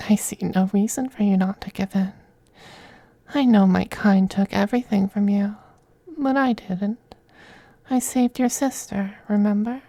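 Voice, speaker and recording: A man playing a possessive, teasing femboy vampire in an intimate ASMR roleplay, speaking softly and breathily, often in a whisper, with coaxing, condescending tenderness. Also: high voice, steady tone, a little slow, gravelly, dry recording, full character voice. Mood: tender menace.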